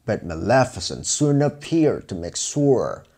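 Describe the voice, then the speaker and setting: A man's voice: fairly low pitch, big pitch swings, measured pace, gravelly, darkish tone. A man narrating a scripted fairy tale for children as a storyteller.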